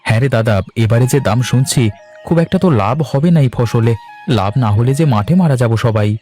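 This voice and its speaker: Man playing enterprising farmer, younger brother, cartoon dialogue, mellow timbre, medium pitch, faint music behind. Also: a little fast, natural voice. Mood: concerned. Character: younger Bengali farmer brother, enterprising, eager, respectful to elder. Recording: music under the voice. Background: instrumental music.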